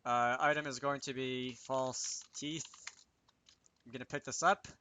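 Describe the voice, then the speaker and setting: A man's voice: mid-range voice, fairly even intonation, measured pace, bright, forceful tone, slight background noise. A man giving a casual coding tutorial, talking to himself as he works, with wry little asides. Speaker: video creator demonstrating game programming.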